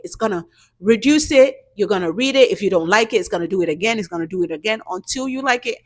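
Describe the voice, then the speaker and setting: A woman's voice: medium pitch, fairly bright tone. Encouraging woman coaching her viewers. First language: not English. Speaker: YouTube channel host giving business grant tips. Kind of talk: casual tutorial talk to camera.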